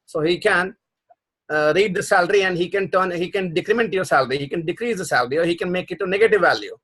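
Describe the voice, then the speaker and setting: A man's voice: high-pitched, quick pace, fairly bright tone, slightly rough. Man teaching a live coding class. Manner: explanatory, repeats himself, frequent restarts and fillers. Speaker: programming instructor. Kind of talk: live online class explaining concepts.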